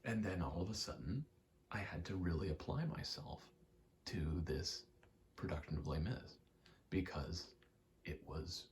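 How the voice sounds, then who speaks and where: A young man giving a soft-spoken, halting, reflective ASMR ramble, telling personal stories in a hushed voice close to the mic. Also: sits low, lively intonation, slight background noise. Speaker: ASMR creator.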